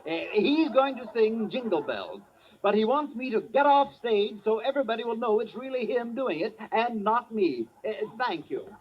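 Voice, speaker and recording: High-pitched, excitable stage showman. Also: moderate pace, breathy edge, exaggerated voice. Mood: flustered, pleased. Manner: grand stage announcing, hesitant chatter. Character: excitable old vaudeville showman announcing his singing mouse act. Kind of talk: 1950s radio drama acting. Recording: muffled, noisy vintage radio recording.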